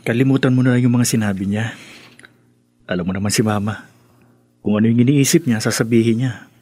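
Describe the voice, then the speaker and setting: A man's voice: medium pitch, moderate pace, long pauses, a little mellow, breathy edge, no room sound, close to an everyday voice. A man playing a mild, conciliatory young husband and dutiful son in a Filipino radio drama, speaking in a hesitant, soothing way.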